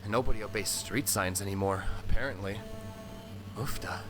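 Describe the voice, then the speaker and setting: A man's voice: lowish voice, moderate pace, close to an everyday voice. An actor playing a rattled delivery driver who rambles and corrects himself as he mutters to himself in an audio drama. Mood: frustrated. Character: rattled, rambling delivery driver disoriented after head injury. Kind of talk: post-apocalyptic audio drama monologue. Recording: radio transmission with music behind.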